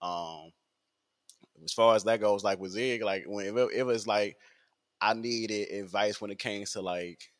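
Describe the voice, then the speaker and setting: A man's voice: on the low side, a little slow. A man, talking in a rambling casual podcast monologue full of fillers and repeats. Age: 20s.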